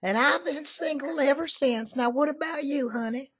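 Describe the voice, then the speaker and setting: A man's voice: very high-pitched, normal speed, a bit bright, a little hiss or hum. A man doing a salty old Southern country woman. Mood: brash flirty. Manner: folksy, salty, drawling, calls people honey. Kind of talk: prank call.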